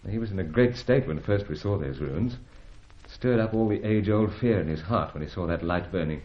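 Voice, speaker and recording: A man's voice: sits low, expressive, moderate pace. An actor playing a suave, wry British secret agent in an old-fashioned radio adventure serial, urbane and gallant in his phrasing. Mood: smooth, affable. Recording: dull, roomy vintage radio recording.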